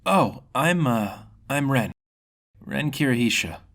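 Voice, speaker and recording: A man voicing a kind, modest demon swordsman hero in a fantasy story, earnest and halting. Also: medium pitch, animated, a bit bright, voice pushed up. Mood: bashful.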